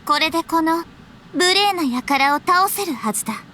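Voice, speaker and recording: A woman voicing a grandiose teenage self-styled dark princess, declaiming pompously in an anime game. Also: extremely high pitch, animated, fast, slight background noise, full character voice. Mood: imperious, theatrical. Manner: pompous, archaic, dramatic declamation. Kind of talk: Japanese anime game voice acting. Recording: game music behind.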